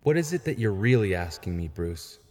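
An actor playing a frank, principled younger vigilante in an audio drama, earnest and articulate. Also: male voice, fairly low pitch, big pitch swings, normal speed, a little mellow. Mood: frank, probing. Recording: long hall reverb.

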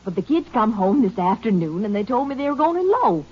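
A woman's voice: fairly high pitch, sing-song, fast, husky. A middle-aged woman playing a worried rural mother in an old radio drama, folksy and anxious. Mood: defensive. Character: worried rural mother defending her son. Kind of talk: 1950s radio acting. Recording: dull old radio recording.

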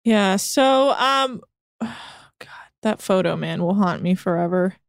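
A woman's voice: mid-range voice, animated, takes it slowly, vocal fry, clear, resonant tone, no room sound, clean studio sound. Sarcastic woman recapping in casual chat. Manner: sarcastic, swears freely. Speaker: podcast co-host.

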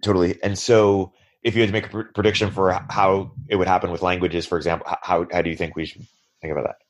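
A man hosts the interview in a low voice, speaking quickly. His questions stumble and hedge before landing. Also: little pitch movement. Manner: stammering, hedging questions.

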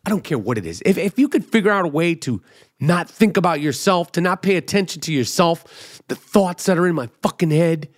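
High-voiced man rambling on a podcast. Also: lively intonation, a little fast. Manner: rambling, profane, self-mocking. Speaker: podcast host. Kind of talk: casual comedic monologue.

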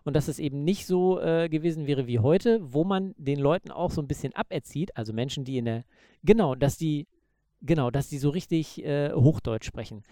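A man's voice: fairly high pitch, normal speed, warm tone, no echo, studio quality. A man co-hosting a podcast, chatting casually in loose, joking banter. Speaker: podcast co-host. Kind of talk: casual chat.

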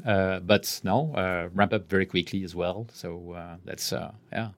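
A man in his fifties from France with a French accent, answering interview questions in a measured way, pausing mid-phrase and letting his voice trail off softly. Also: sits low, fairly even intonation. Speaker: guest executive.

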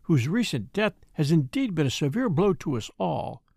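A man's voice: on the high side, very animated, normal speed, creaky, darkish tone, no room sound, clean studio sound, exaggerated voice. Man reading as a pompous, stuffy married lady. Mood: starchy. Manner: formal, pompous. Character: stuffy, self-important married lady composing formal letters.

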